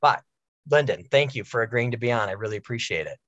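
A man's voice: medium pitch, lively intonation, quick pace, a bit bright, no room sound. Guy hosting an interview, easygoing questions, kinda muffled and dead dry sound. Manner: friendly, loose questions with fillers. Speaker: interview host. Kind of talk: casual interview.

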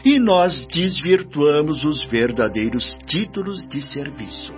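A stern, wise spirit minister admonishing a disciple in a radio drama. His speech is formal and measured, with expressive, swinging pitch. The recording is slightly dull, with faint music underneath. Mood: reproving. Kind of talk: radio drama acting, preachy dialogue.

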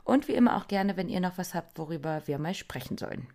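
Woman, low-pitched, casual, chatty, laughs a lot. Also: lively intonation, quick pace, vocal fry, mellow. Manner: chatty, filler-heavy, laughs often. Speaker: podcast co-host. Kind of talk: casual chat.

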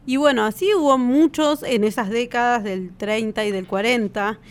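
A woman's voice: on the high side, moderate pace. A woman with an Argentine accent, co-hosting a podcast in a casual, conversational way and often checking for agreement with little tag questions. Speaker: podcast co-host.

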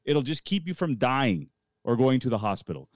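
A man's voice: medium pitch, big pitch swings, normal speed, no echo, clean studio sound. A man co-hosting a talk show, speaking casually in a plainspoken, emphatic way. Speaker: show co-host.

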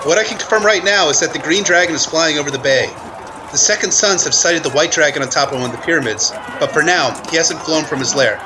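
Man as field correspondent in loud wind. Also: fairly high pitch, quick pace, close to an everyday voice. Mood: urgent. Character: earnest male embedded war correspondent in an army camp. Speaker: guest host. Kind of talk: mock live news radio play. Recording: loud wind and outdoor noise.

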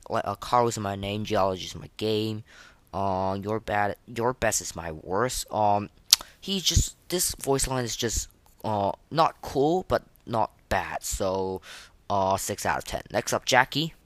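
Casual podcast host, sex ambiguous by ear, mid-low voice, halting and opinionated, close dry sound. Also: lively intonation, dry recording. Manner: hesitant, halting, rambling delivery; opinionated, slangy. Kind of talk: casual unscripted game review commentary.

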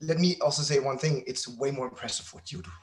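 A man speaking as a podcast guest in casual conversation, halting and repeating words, with a self-deprecating way of talking. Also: medium pitch, fairly even intonation. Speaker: podcast guest, company founder.

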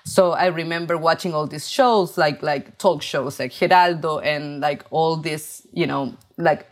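Chilean-accented woman in her forties, low voice, unhurried halting artist interview. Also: steady tone. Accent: Chilean Spanish. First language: Spanish. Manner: halting, many fillers and restarts. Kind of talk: interview monologue about her art.

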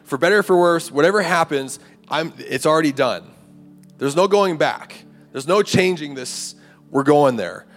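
A man preaching a conversational sermon to a campus ministry, his voice raspy and a little hoarse. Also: fairly high pitch, lively intonation, normal speed. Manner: conversational preaching with rhetorical "right?" and "like". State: hoarse, clearing throat. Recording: live hall recording, long reverb, faint music.

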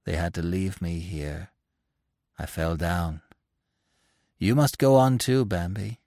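Man doing a tired little deer boy for a storybook, quiet, weak, totally resigned. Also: sits low, slow, creaky, dry recording, clean studio sound, voice pushed down, full character voice. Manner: soft, serious, quiet and simple. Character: exhausted, resigned young male deer fawn.

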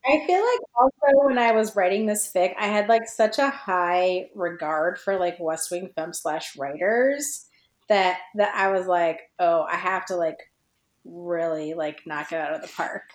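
A woman chatting informally about fan fiction, halting and restarting, with "like" scattered all through her sentences. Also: fairly low pitch. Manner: halting, informal, heavy use of "like". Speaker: podcast guest, fan fiction writer. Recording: roomy, echoey sound.

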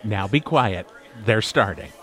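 A man's voice: fairly low pitch, expressive, a little slow, background noise. A man playing the exasperated straight man in a podcast audio drama, dry and no-nonsense. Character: exasperated straight man among joking friends. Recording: clear music behind the voice.

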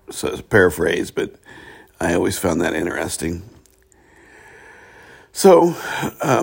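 A hoarse middle-aged man hosting a reflective spiritual talk show, speaking in a halting way with many pauses. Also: low voice. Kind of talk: reflective solo monologue.

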